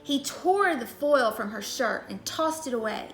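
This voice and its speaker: A woman's voice: a little high, expressive, unhurried, echoey room, faint music behind. A woman reading a children's storybook aloud in a scripted narration style. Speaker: story reader.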